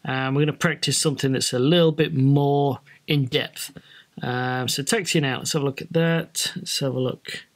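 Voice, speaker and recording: A man's voice: medium pitch, fairly even intonation, normal speed. A British man giving an informal, explanatory walkthrough as a flight training presenter in a casual tutorial style. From Britain.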